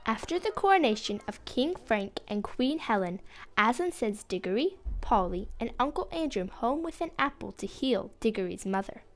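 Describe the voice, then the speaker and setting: A young female narrator reading a scripted fantasy story aloud with expressive storybook delivery. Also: fairly high pitch, normal speed, faint music behind.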